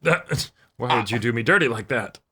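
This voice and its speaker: A man's voice: medium pitch, animated, normal speed. A man co-hosting a reaction show, making casual, playful, tongue-in-cheek remarks. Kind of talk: casual reaction chat and commentary.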